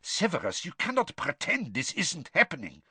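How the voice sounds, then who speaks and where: A man reading an audiobook, voicing an anxious, hushed middle-aged male headmaster. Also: a little high, wildly swooping pitch, normal speed, breathy edge, no echo, voice pushed up, full character voice.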